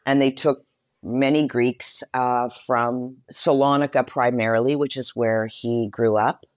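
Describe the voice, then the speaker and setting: Low-voiced woman telling personal stories. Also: a little slow, dry recording, clean studio sound. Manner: expressive, emphatic repetition. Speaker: interview guest. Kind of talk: personal storytelling in conversation.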